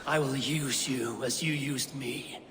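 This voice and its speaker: An actor playing a hardened Viking raid leader, scornful and commanding. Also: male voice, medium pitch, steady tone, slow, background noise, natural voice. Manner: commanding, scornful, barking orders. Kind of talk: game cutscene voice acting.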